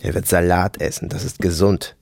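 Low, gravelly brooding soldier. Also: male voice, flat delivery, darkish tone, slight background noise. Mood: wistful, musing. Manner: halting, fragmentary, repetitive musing. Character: brooding dark-blond soldier, man haunted by family memories. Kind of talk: radio drama interior monologue.

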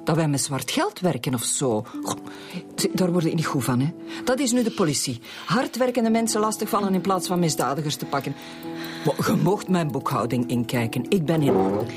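A Flemish widow in a radio drama, her low voice swinging widely over clear music. She is curt, prickly and defensive, quick to sound indignant. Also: very animated. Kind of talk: naturalistic radio drama acting.

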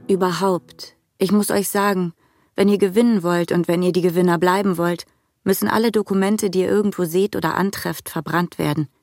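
A woman's voice: lowish voice, fairly even intonation, fairly quick, darkish tone, dry recording, faint music behind, lightly acted. Commanding rebel leader, very dry sound, acted by a woman. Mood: commanding, fervent. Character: rousing rebel leader giving orders to followers. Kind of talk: radio drama acting.

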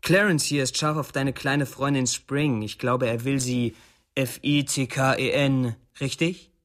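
A man's voice: medium pitch, fairly even intonation, a little slow, a bit bright, close to an everyday voice. Taunting, domineering young criminal played by a man. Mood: sneering. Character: menacing young male drug-scene rival seizing power. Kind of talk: radio play acting.

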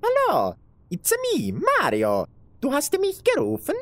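A woman plays a cheery cartoon plumber from a video game, for a parody audio drama. The voice is fairly high and bounces wildly up and down. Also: wildly swooping pitch, measured pace.

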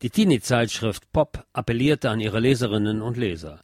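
A man reading a scripted documentary narration in a measured, factual way. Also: mid-range voice, lively intonation, a little mellow, no room sound, studio quality.

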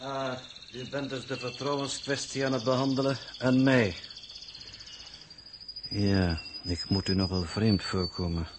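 An actor playing an older, enigmatic and coolly logical space coordinator in a 1960s Dutch radio drama, formal and measured. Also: male voice, medium pitch, steady tone, background noise, lightly acted.

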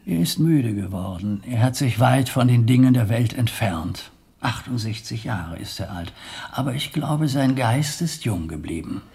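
Stern pious abbot, husky voice, solemn, formal, lecturing, medieval radio play. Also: male voice, medium pitch, animated, moderate pace, a little mellow, exaggerated voice. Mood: measured. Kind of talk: German radio drama acting.

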